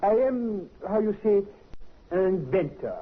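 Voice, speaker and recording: A man's voice: sits high, very animated, slight background noise. An actor with a French accent playing an amorous little Frenchman inventor in broken English, in a vintage radio crime drama. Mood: halting. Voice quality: halting broken English, fumbling for words. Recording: muffled, tinny vintage radio recording.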